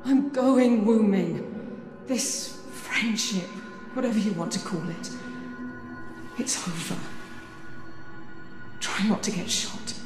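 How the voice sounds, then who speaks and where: A woman's voice: mid-range voice, takes it slowly, pauses often, natural voice. A woman playing a guarded grieving young woman. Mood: cold. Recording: echoey room with music.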